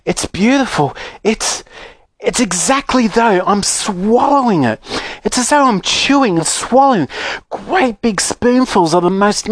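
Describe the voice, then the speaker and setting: A storyteller voicing a greedy, stubborn young girl who is bossy and excitable. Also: mid-range voice, no room sound, pitched above the performer's own voice. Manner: gasping, talking while chewing.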